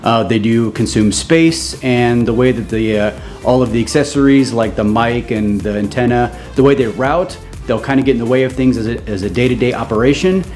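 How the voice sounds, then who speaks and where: A man presenting a casual how-to in an explanatory, measured way, as co-host of a gear channel. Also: medium pitch, a little mellow, soft background music.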